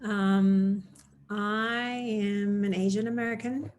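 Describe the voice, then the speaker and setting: A woman from Indonesia introducing herself earnestly to a round table, in halting phrases. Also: mid-range voice, steady tone, a little mellow, slight background noise. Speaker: panelist, nonprofit advocate. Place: dining room at home, video call.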